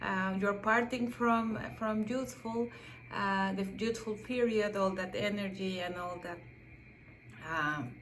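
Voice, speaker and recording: A woman's voice: medium pitch, takes it slowly, fairly bright tone, slight background noise, poor audio. A middle-aged Mexican woman, a native Spanish speaker with a Mexican accent, talking about herself as a psychotherapist in a hesitant, reflective way with many fillers and restarts. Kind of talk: self-introduction monologue.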